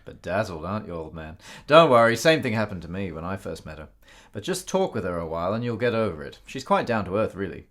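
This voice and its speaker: A man's voice: deep voice, fast. A man playing a hearty, teasing writer in a period radio play, with a jovial, old-fashioned way of talking. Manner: jovial, expressive, old-fashioned turns of phrase. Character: hearty, teasing gentleman writer in 1870s Italy. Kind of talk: radio drama acting.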